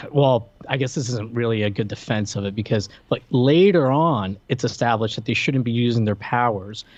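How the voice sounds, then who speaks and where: Slightly dull, lo-fi recording of a male podcast co-host. He has a medium-pitched voice and chats casually about movies, getting animated as he retells scenes. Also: moderate pace, no echo. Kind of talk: casual movie discussion chat.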